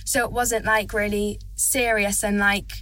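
Bright, chatty teenage girl. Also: mid-range voice, little pitch movement, a little slow. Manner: chatty, eager, says "like" a lot. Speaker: child actor guest. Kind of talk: casual interview chat.

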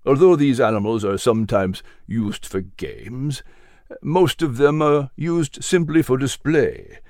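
A narrator voicing a calm, wise and kindly male guide in a children's audiobook. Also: medium pitch, a little slow, no echo, studio quality, lightly acted. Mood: solemn.

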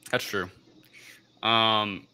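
Deep-voiced man with a very sharp, forceful sound. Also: level tone, smooth. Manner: halting, rambling recounting. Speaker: podcast host. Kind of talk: casual film review discussion.

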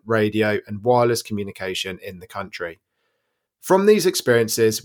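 A man reading quoted remarks from a script in a formal, corporate manner. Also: fairly low pitch. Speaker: reader of quotes. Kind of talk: scripted read-aloud of a quote.

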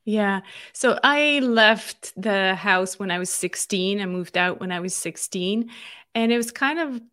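A woman's voice: mid-range voice, measured pace, pushed and bright, no echo. Reflective Swiss woman guest, dry sound. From Switzerland. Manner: reflective, many fillers and restarts. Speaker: guest, marketing consultant. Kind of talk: conversational interview.

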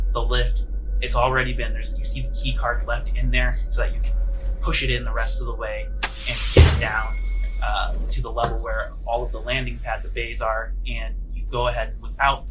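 Bright-voiced man running a tabletop game. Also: medium pitch, slight background noise, low-quality recording. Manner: informal, halting, improvised phrasing. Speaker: game master. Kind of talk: narrating a tabletop role-playing game.

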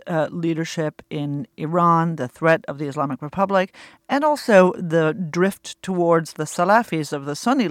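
Male moderator, fairly high register, dry sound, conversational panel questioning. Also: dry recording.

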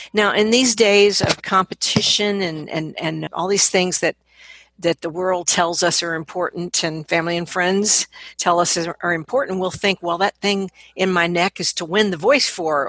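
A middle-aged woman, a vocal coach, explaining things conversationally as an interview guest, in an animated manner. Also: on the low side, breathy edge, no echo.

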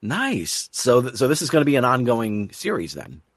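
A man's voice: medium pitch, huge pitch swings, normal speed, husky, dry recording, studio quality. A man hosting an interview podcast in an enthusiastic, effusive manner. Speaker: podcast host.

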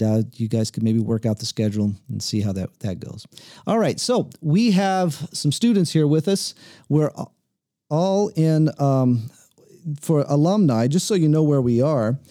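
A man hosting a casual interview in a cabin living room, speaking in a relaxed, rambling way with frequent repeated words. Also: medium pitch, no room sound, clean studio sound. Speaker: host. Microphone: table mic.

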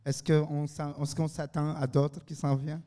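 A man's voice: a little high, level tone, normal speed, dark tone. A man hosting a casual live panel interview, with a jovial, teasing manner. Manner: teasing, jovial, laughs often. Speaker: host moderating a panel discussion. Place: live recording in a university bar. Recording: reverberant room, live event recording.

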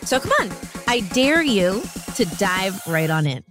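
A woman delivers a scripted podcast intro with a lively, swooping delivery. She sounds bright and inviting, and clear music plays under her voice. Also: medium pitch, measured pace. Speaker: podcast host.

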